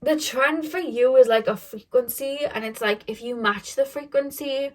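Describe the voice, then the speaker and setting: A woman's voice: high voice, normal speed. A young woman giving a casual tarot reading to the camera, rambling with many fillers and self-corrections, her voice a little shaky. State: voice getting shaky. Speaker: tarot reader.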